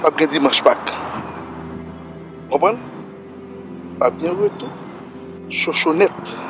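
A man's voice: a little high, huge pitch swings, takes it slowly, creaky, noisy background. A middle-aged man, performing a staged comedic confrontation outdoors in a park, taunting and challenging the other man. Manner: taunting, challenging, shouts repeated dares. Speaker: comic sketch performer. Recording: music bed under the voices.

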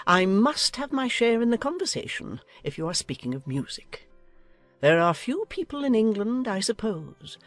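An imperious, husky older noblewoman. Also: medium pitch. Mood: imperious, boastful. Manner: pompous, commanding. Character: imperious, meddling older aristocratic lady. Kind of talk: dramatized audiobook acting.

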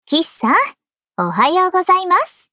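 Cutesy anime game girl: very high, animated, sweetly teasing. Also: moderate pace, dry recording, studio quality, full character voice. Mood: playful, teasing. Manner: polite, cutesy, coaxing. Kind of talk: anime game character acting. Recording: voice over loud game music.

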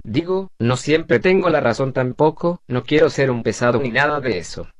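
A man's voice: mid-range voice, lively intonation, normal speed, dry recording, clean studio sound, natural voice. A man playing an argumentative, earnest young son who works in a shop, in an amateur drama dub. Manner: questioning, earnest. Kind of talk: amateur Spanish dub acting.

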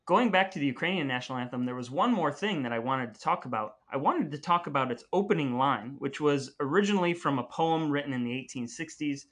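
A man's voice: mid-range voice, expressive, fast talker, hardly any pauses, a bit bright. A man co-hosting a podcast, chatting casually in a playful, jokey way. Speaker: podcast co-host.

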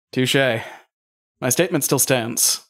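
A man's voice: mid-range voice, fairly bright tone. Cool, sardonic male interrogator, played by an actor. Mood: cool, mocking. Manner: dry, deliberate, mocking. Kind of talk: audio drama acting, naturalistic.

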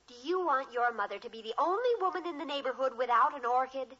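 An actress playing a bossy, earnest teenage big sister in a 1950s radio sitcom. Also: female voice, high-pitched, fast, bright, forceful tone. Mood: urgent, bossy. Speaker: actor in a radio family sitcom. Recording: dull old radio recording.

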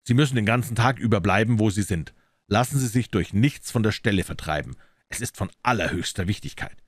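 A man voicing a coolly assured, incisive gentleman detective in an audiobook, emphatic and courteous. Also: deep voice, fairly quick, no room sound, clean studio sound. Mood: urgent, emphatic.

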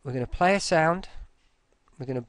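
A man talking through a casual screen-recorded software tutorial, informally, with muttered asides to himself. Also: fairly high pitch, normal speed, no room sound.

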